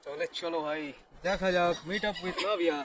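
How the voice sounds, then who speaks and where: A male gaming host with a Bengali accent chats informally to his viewers. His slightly high voice races along at great speed, with game music faintly behind it. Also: fairly even intonation, racing. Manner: informal, addresses viewers directly. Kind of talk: casual gameplay commentary.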